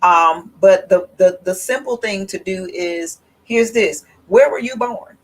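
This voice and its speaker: A woman hosting a casual livestream, talking slowly and emphatically with restarts. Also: medium pitch, poor audio. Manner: slow, rambling and emphatic, with restarts and laughs. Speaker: host.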